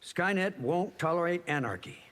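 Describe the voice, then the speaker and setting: An actor playing a ruthless killer machine in a video game, cold, clipped and declarative. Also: male voice, sits high, animated, normal speed, fairly bright tone, long reverb tail, a little hiss or hum. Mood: cold menace. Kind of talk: video game character dialogue.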